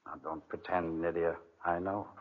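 An actor playing a sly, manipulative older guardian uncle in an insinuating, coaxing 1940s radio drama style. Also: male voice, deep voice, level tone, moderate pace. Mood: sly persuasion. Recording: muffled vintage 1940s radio recording.

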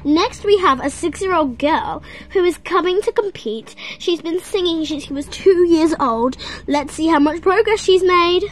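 A woman's voice: very high voice, normal speed, hardly any pauses. A woman hosting a toy talent show skit at home in a bubbly announcer manner, on a camera mic. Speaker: show host narrator.

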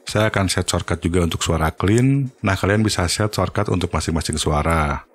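A man giving an informal, step-by-step software tutorial. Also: low voice, fairly quick, soft background music. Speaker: tutorial host.

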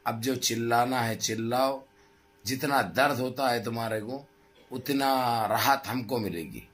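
A middle-aged man with a hoarse throat gives impassioned political commentary to his viewers in emphatic, repetitive, rhetorical phrases. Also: medium pitch, unhurried, fairly bright tone. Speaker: news commentary vlogger. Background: background music.

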